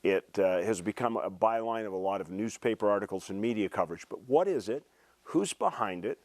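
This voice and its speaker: A man's voice: on the low side, lively intonation, a little fast, darkish tone, no echo. An American man hosting an interview show, putting questions to his guest in a conversational style. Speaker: podcast host, interviewer.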